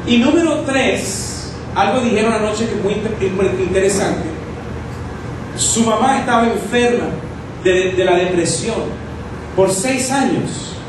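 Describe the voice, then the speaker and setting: A man's voice: high-pitched, a little slow. A man in preaching a sermon to a congregation, teaching through rhetorical questions. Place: church hall with congregation. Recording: echoey hall, distant mic, noisy.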